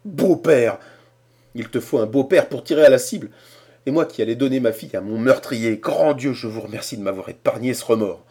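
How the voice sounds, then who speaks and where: A man voicing a furious old English gentleman who rants shrilly in outrage. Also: medium pitch, brisk, husky, voice pushed up. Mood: scornful.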